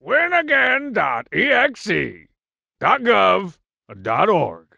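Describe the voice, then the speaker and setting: Short-tempered masked male cartoon villain for a game: very gravelly, bright and forceful, juvenile, boastful and slangy. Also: high voice, huge pitch swings, takes it slowly, no room sound, muffled recording, exaggerated voice. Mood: gloating. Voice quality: gravelly, raspy. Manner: boastful, mocking, slangy, exaggerated delivery. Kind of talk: video game character barks.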